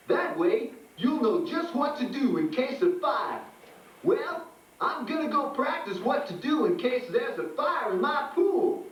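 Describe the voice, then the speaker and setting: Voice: sits high, muffled recording. A performer voicing a cheerful puppet who teaches children in a scripted show. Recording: echoey room, distant, music behind.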